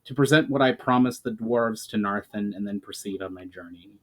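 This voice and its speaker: A man's voice: lowish voice, brisk, fairly bright tone, poor audio. A man voicing an earnest male elf adventurer who speaks with formal courtesy in a fantasy storybook. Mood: grateful.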